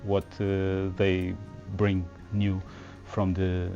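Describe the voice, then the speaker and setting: A man's voice: deep voice, completely flat delivery, extremely slow, mellow, dull audio, soft background music. A man interviewing exhibitors for a podcast at a trade show, asking his questions hesitantly with fillers.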